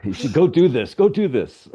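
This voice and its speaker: A man's voice: mid-range voice, sing-song, moderate pace, mellow, husky, highs cut off. An older man talking conversationally as an interview guest, explaining his craft as a director with emphatic points and short acted-out examples. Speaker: guest, audio drama director and writer. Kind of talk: conversational interview with practical advice.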